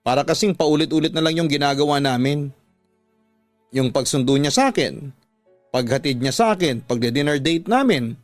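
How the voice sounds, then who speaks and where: A man's voice: a little high, animated, moderate pace, dry recording, faint music behind, lightly acted. Man voicing a restless Filipina girlfriend, complaining. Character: restless, dissatisfied young woman confiding in her friend.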